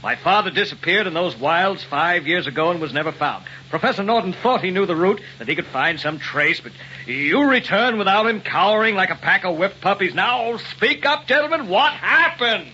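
Imperious doctor, high and sharp. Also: male voice, lively intonation, moderate pace. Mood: scornful, angry. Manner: scornful, demanding, theatrical. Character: impatient, domineering male doctor bankrolling an expedition. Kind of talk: old-time radio drama acting. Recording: dull old radio recording with hum.

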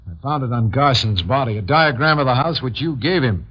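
A man's voice: medium pitch, animated, brisk, slight background noise, natural voice. A man playing a grim, accusing investigator in an old-time radio drama, speaking with righteous sternness. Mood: stern, accusing. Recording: dull lo-fi old radio recording.

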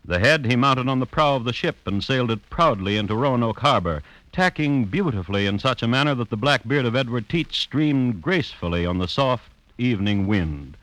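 Actor as a crisp, droll radio crime chronicler. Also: male voice, mid-range voice, no echo, close to an everyday voice, low-quality recording. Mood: droll, deadpan. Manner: deadpan, measured storytelling. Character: dry, droll crime chronicler hosting the show. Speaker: host and narrator. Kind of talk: 1950s radio scripted narration. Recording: hissy old radio broadcast.

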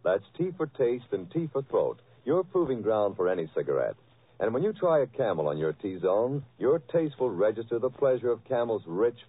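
Male 1940s radio pitchman, husky and highly inflected, on a tinny old broadcast recording. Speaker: commercial announcer. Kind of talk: 1940s radio advertising read.

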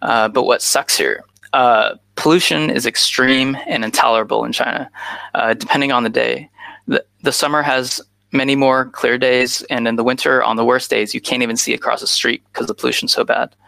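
A man in his thirties delivers a prepared conference talk, explaining earnestly and leaning on frequent "uh"s. His voice has a gravelly edge with a bright, forward tone. The sound is dry and close, with no room around it. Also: mid-range voice, fairly even intonation, normal speed, dry recording, rough recording. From Chicago, USA. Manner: filler-heavy, earnest, explanatory. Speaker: conference speaker. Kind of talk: prepared talk over a remote connection.

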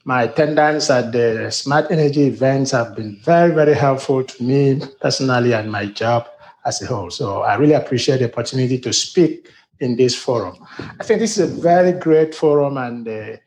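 A man's voice: medium pitch, moderate pace, a little mellow, roomy sound, slight background noise. A middle-aged man from Nigeria with a Nigerian accent, a university sustainability director giving earnest, formal interview answers with frequent restarts.

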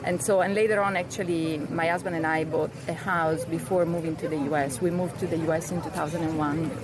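A woman's voice: sits low, fairly even intonation, a little fast, crowd noise. A middle-aged Italian woman, a native Italian speaker talking in English, answering interview questions with many hesitations and fillers. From Italy. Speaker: guest. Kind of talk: casual interview chat. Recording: outdoor recording with street noise.

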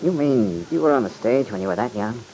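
A man's voice: medium pitch, animated, brisk, creaky, warm tone. An actor playing an easygoing, modest young country man with an American accent, folksy and a little bashful, in old-time radio drama. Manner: folksy, slightly bashful, hesitant. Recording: noisy vintage radio recording.

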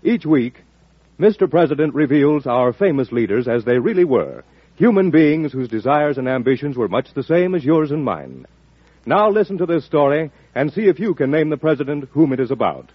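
Animated American vintage radio announcer, man. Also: on the high side, moderate pace, husky. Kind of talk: old-time radio scripted announcing. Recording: dull, echo-tinged vintage radio recording.

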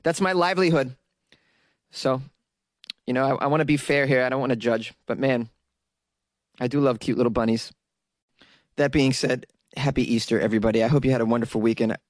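A man's voice: mid-range voice, fairly even intonation, fairly quick, pauses often, dry recording, clean studio sound. A man reading news stories aloud and breaking off into sarcastic, rambling jokes aimed at his viewers. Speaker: solo news commentary host. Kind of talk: casual commentary reading news articles.

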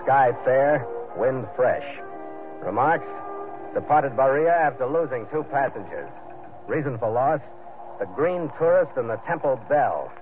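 A man's voice: mid-range voice, very animated, measured pace, phone-quality sound. An actor playing a tough American sea captain narrating his log in clipped, hardboiled 1940s radio adventure style. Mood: dry narration. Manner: clipped, matter-of-fact, commanding. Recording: thin vintage radio broadcast with music.

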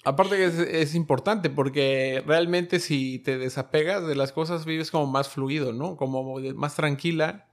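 A man hosting an interview in a conversational way, upbeat and quick with short reactions. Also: a little high. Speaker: interview host.

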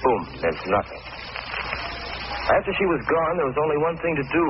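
A man's voice: on the high side, very animated, moderate pace. Bitter young man, swooping delivery. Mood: bitter, wistful. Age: young adult. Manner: wry, agitated, self-pitying. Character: bitter, jilted young man, paranoid, drinking heavily. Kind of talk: 1940s radio drama acting, first-person narration. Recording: noisy, muffled old radio recording.

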